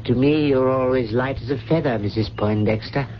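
A man's voice: medium pitch, fairly quick, lightly acted. Guy acting devoted polite helper in old radio play, mid voice, noisy dull recording. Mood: tender, restrained. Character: devoted, deferential male helper to an ailing lady. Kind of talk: 1970s radio drama acting.

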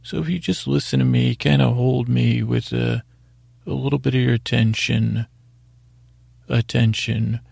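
A man hosting a bedtime podcast with a gravelly, husky voice, rambling and halting in a soothing, unhurried, self-deprecating way. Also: medium pitch, steady tone, a little mellow, dry recording. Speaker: podcast host. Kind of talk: meandering bedtime podcast monologue.

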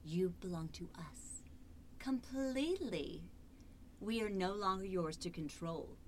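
A woman playing a cold, controlling artificial intelligence in a horror radio drama, measured, commanding and possessive. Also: fairly low pitch, lively intonation, slight background noise. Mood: threatening. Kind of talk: old-time radio horror acting.

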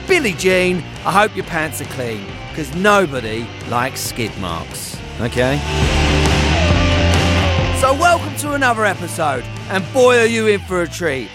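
A man hosting a podcast in a jokey, matey and enthusiastic way, with casual swearing. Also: high-pitched. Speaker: podcast host. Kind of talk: upbeat podcast presenting and casual chat.

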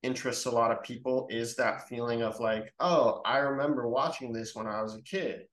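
A young man explaining things in a measured way in a casual podcast conversation. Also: medium pitch, steady tone, a bit bright. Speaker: lost media researcher. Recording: roomy, echoey sound.

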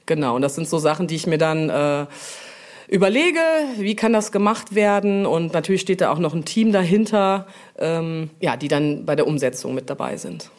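A woman answering interview questions informally, explaining things with frequent pauses to think. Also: low-pitched, expressive, a little mellow. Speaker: brand manager, interview guest.

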